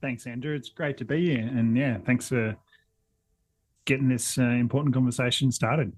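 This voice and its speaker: A man's voice: mid-range voice, steady tone, normal speed, no echo. A young man from northern New South Wales, talking casually in an interview with many hesitations and restarts. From northern New South Wales, Australia. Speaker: environment council coordinator.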